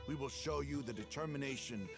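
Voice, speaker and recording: An actor playing a proud, hard-bitten mercenary leader from the desert in a video game, earnest and resolute. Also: male voice, medium pitch, brisk, vocal fry, close to an everyday voice. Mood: proud, rallying. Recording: game music behind. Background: game soundtrack music.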